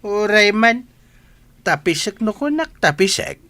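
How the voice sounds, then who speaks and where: A man's voice: high-pitched, big pitch swings, a little slow, bright, forceful tone, heavily acted. A man playing an indignant, hot-tempered village father in a radio drama, scolding emphatically. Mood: angry, exasperated.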